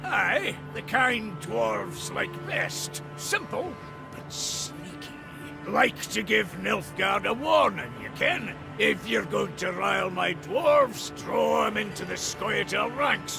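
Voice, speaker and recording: An actor voicing a gruff, blunt old dwarf elder in a fantasy video game, with a Scottish accent and gravelly voice. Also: male voice, high voice, full character voice. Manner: blunt, folksy, bossy. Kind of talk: dramatic video game voice acting.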